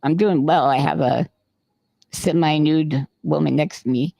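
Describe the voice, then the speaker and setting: Gravelly man telling a rambling story. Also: a little high, unhurried, no room sound. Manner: rambling, animated, swears freely. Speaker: podcast co-host. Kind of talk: casual storytelling.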